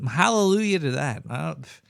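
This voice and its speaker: A man's voice: fairly high pitch, animated, moderate pace, creaky, fairly bright tone, smooth, no echo, clean studio sound. A man hosting a show, chatting casually in a cynical, rambling way and swearing freely. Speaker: radio show host. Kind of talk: casual chat.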